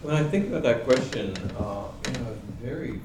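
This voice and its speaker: A man from Georgia speaking as a panelist writer, unhurried and musing, with frequent ums and uhs. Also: medium pitch, animated. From Georgia, USA. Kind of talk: reflective panel talk. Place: event room in a library. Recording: echoey room with background noise.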